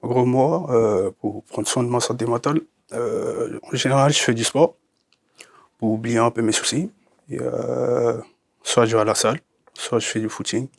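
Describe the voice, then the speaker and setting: A man's voice: medium pitch, fairly even intonation, normal speed, vocal fry, no echo, clean studio sound. A man of twenty-two, a guest on a youth panel, speaking hesitantly with many fillers. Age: 20s. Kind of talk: casual discussion.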